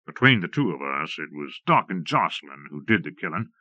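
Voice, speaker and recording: A man's voice: very deep voice, big pitch swings, brisk, bright, forceful tone, no room sound, muffled recording, pitched below the performer's own voice, heavily acted. A man voicing a cocky captured outlaw leader in a western audiobook, with a wry, folksy manner. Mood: frank, uneasy. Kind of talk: western audiobook character acting.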